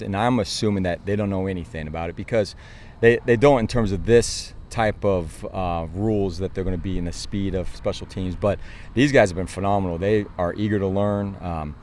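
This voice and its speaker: Deep-voiced American football coach. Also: male voice, fairly quick, a little mellow, a little hiss or hum. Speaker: football coach, interview guest. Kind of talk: casual interview answers.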